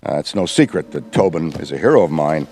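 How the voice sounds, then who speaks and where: A rambling veteran ghostbuster. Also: male voice, rumbling low, animated, fast, a little mellow. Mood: lively. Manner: rambling, wordy, professorial. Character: Ray, enthusiastic veteran ghostbuster, middle-aged man. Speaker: veteran mentor. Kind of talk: video game cutscene voice acting.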